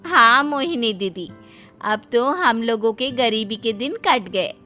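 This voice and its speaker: A woman voicing a gentle, modest sister-in-law and proud mother in a moral story, polite and reasoning. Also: on the high side, lively intonation, slow, soft background music, full character voice. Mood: modest pride.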